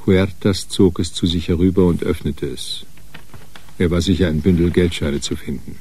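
An actor playing the sober, grim narrator of a radio crime story, reading scripted narration. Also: male voice, low-pitched, steady tone, moderate pace, a little mellow, husky. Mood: grave.

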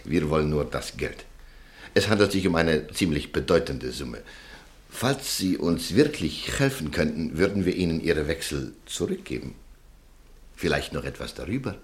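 A smooth, quietly persuasive extortioner in a radio play; very low voice. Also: male voice, big pitch swings, heavily acted. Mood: coaxing. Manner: soft-spoken, coaxing, measured. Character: smooth, calm male extortioner named Walter. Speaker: radio drama character.

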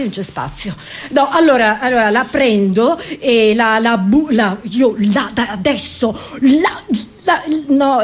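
A woman comedian delivers a theatrical monologue. Her manner is frantic and exclamatory, with stammered, repeated words that break up the sentences. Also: on the high side. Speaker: stand-up comedian.

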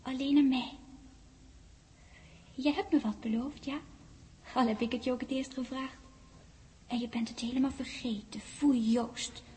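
High, mellow little girl, coaxing. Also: fairly even intonation, measured pace, pauses often. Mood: reproachful. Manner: childlike, teasing, coaxing. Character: ghostly ten-year-old girl, coaxing, playful, reproachful. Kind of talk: 1960s radio drama acting.

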